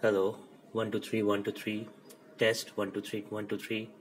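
Low voiced guy showing off some electronics, kinda halting, talking into his phone indoors. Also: completely flat delivery, slight background noise, poor audio. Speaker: presenter of an electronics demo. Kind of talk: informal hands-on tech demonstration.

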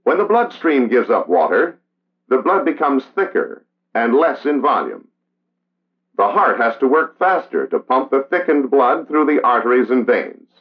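An American man giving measured, solemn, scripted narration in the style of a 1960s training documentary. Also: mid-range voice, big pitch swings. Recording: dull vintage film soundtrack. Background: music.

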